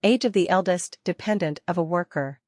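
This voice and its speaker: A woman with a slightly low voice leads a software training lesson. She explains things in small steps, restates key points and keeps putting questions to her learners. The sound is dry, with very little room in it. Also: a little fast, no room sound. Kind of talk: interactive step-by-step teaching.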